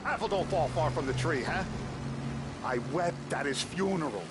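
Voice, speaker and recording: An actor playing a hot-tempered older mob boss who is gruff, profane and commanding in a game cutscene. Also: male voice, on the high side, normal speed, over loud music, natural voice. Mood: bitter. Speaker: crime boss.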